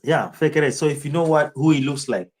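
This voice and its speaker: A man's voice: on the high side, a little fast. Kenyan man rambling through a livestream. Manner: rambling, answers chat comments by name. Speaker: live streamer. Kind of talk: casual livestream commentary.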